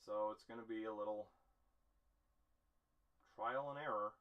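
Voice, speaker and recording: A man's voice: on the low side, steady tone, a little slow, phone-quality sound. A man chatting casually to camera in an easygoing, self-deprecating, rambling way as an amateur voice actor.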